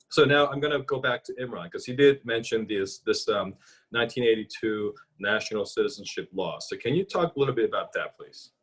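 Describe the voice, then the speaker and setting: A man's voice: lowish voice, fairly bright tone, slightly rough. A man moderating a panel discussion, musing aloud and hedging with many fillers as he asks questions.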